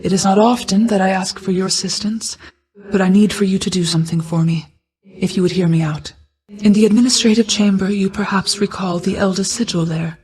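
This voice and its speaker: A woman voicing an ancient goddess of night in a video game, a solemn, caring mother who speaks in a formal, measured, reserved way. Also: medium pitch, fairly even intonation, darkish tone, close to an everyday voice, rough recording. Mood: grave, pensive. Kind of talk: video game character dialogue.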